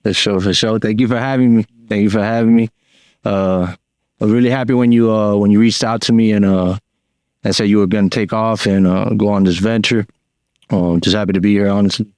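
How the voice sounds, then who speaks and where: A Cuban-born man, a guest talking casually on a podcast in a slangy, relaxed way full of fillers. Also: lowish voice, brisk, clear, resonant tone, no echo, studio quality. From Cuba. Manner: laid-back slangy talk with many fillers.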